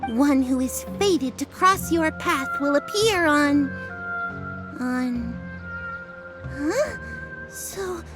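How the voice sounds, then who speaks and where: Pompous fortune teller. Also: female voice, very high voice, heavily acted. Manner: theatrical, pompous. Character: grand self-serious woman fortune teller. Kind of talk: video game voice acting.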